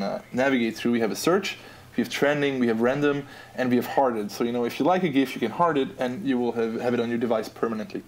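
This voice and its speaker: A man's voice: mid-range voice, darkish tone. A man in his twenties from Austria, with an Austrian accent, chatting as a podcast guest in a laid-back, joking, rambling way. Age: 20s. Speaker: podcast guest, app designer. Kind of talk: casual podcast chat.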